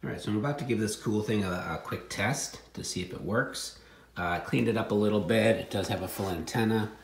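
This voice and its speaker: A man's voice: lowish voice, fairly quick, gravelly, reverberant. A man showing secondhand finds in casual, offhand chat with a wry, joking manner.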